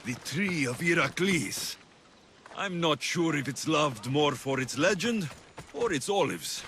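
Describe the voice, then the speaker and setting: An actor playing a blunt, skeptical Greek mercenary in a video game, wry, impatient and direct. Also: male voice, on the high side, animated, unhurried, background noise. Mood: wry, probing. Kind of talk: dramatic video game acting. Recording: music playing behind the voice.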